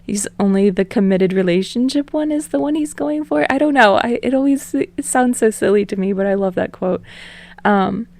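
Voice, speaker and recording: Casual, creaky-voiced woman host. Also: medium pitch, moderate pace, a little mellow, no room sound. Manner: hesitant, informal, rambling. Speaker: podfic host. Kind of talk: casual chat to listeners.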